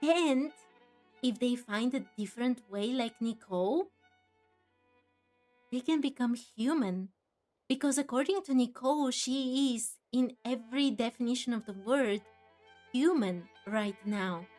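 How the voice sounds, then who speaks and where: A woman's voice: fairly high pitch, measured pace, a little mellow, soft background music. A woman hosting a game playthrough, musing aloud and adding exclamatory asides as she goes. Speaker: streamer, game playthrough host. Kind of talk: gaming commentary mixed with reading dialogue.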